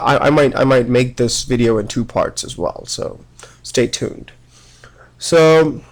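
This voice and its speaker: A man's voice: mid-range voice, vocal fry, darkish tone. A young man with a cold giving a casual electronics lecture, often checking in with "right?" and restarting his sentences. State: has a cold.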